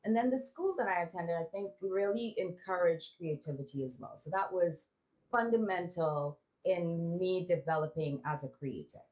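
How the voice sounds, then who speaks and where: A woman from Trinidad, a guest telling her life story in a relaxed, reflective way with many restarts. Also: lowish voice, moderate pace, rough recording. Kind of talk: casual interview conversation.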